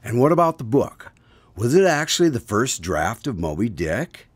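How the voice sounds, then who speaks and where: A man's voice: medium pitch, very animated, moderate pace, dry recording. A man acting as the polite, attentive host of a radio drama, putting questions to a storyteller. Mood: curious, courteous. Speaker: host, announcer. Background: music.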